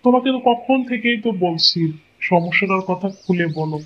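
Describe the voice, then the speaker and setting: A man's voice: sits high, brisk, lo-fi sound, a little hiss or hum, lightly acted. A man voicing a kindly, resigned old village father-in-law in a cartoon story, with a coaxing, homely way of talking. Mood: patient, reassuring. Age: older. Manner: coaxing, homely, repeats himself.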